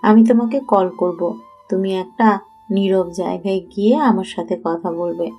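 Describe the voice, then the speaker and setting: Woman acting a bright-voiced, firm older sister. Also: mid-range voice, unhurried, soft background music. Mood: firm, brisk. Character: young woman, schoolgirl's watchful older sister, firm and composed. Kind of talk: Bengali audio story acting.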